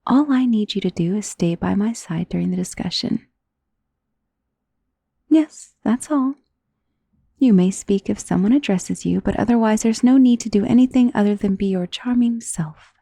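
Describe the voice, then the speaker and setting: Casting: woman to play elegant female vampire for ASMR roleplay. Mellow, breathy, coaxing and possessive, often whispering close to the mic. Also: medium pitch, normal speed, no echo. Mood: reassuring, coaxing. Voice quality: breathy, soft. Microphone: close studio mic.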